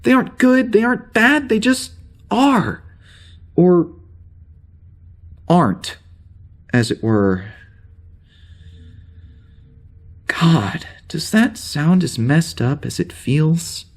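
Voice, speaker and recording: An actor playing a troubled starship officer with amnesia, halting and rambling as he records a log in a naturalistic audio drama. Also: a little high, very animated, full character voice. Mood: defensive distress. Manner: halting, rambling, self-interrupting.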